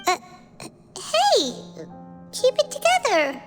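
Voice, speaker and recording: Super high cutesy little fairy girl for a game, cheeky and boastful, really animated, music behind. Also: slow pace, heavily acted. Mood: urgent. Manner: childlike, cutesy, self-important. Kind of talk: video game character acting.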